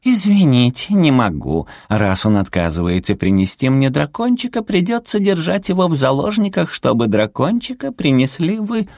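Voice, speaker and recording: A man's voice: on the high side, sing-song, moderate pace, few pauses, a little mellow, no room sound, clean studio sound, pitched above the performer's own voice. A man voicing a cute, small, furry female villain in an audiobook, sweetly polite and pouty with gushing manners. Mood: sweetly menacing.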